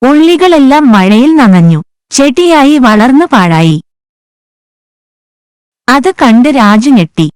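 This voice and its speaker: A woman's voice: sits high, sing-song, slow, long pauses, darkish tone, smooth, dry recording. A woman reading scripted moral stories for children as the narrator. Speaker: story narrator. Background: background music.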